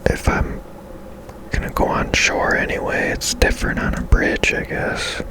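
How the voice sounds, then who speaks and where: Slow, breathy whispered ASMR game commentary. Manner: unhurried, musing to self, tentative. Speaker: gaming ASMR video creator.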